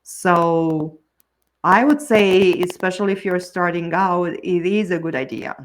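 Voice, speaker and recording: Woman, lowish voice. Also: a little slow, a little hiss or hum, low-quality recording. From Romania. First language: Romanian. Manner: earnest, with frequent restarts and pitch jumps on key words. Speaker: guest, book coach. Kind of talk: podcast interview answers.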